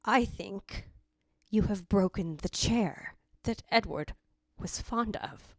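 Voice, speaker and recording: A woman's voice: medium pitch, slow, dry recording. A woman playing a stern, snappish sister in an old-time radio ghost drama, curt and sharply emphatic. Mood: cold, composed.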